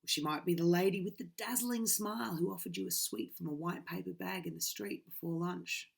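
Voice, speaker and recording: A woman's voice: lowish voice, fairly quick, creaky, slightly rough. A woman, reading a children's book aloud dramatically into a webcam in a small bedroom. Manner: dramatic, swinging from loud to hushed. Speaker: reader.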